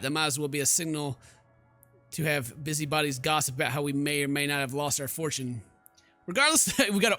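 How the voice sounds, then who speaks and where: Bright, high-voiced man doing let's play commentary. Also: no room sound. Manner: animated, jokey reactions. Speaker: gaming streamer. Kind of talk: let's play commentary, reading game narration aloud.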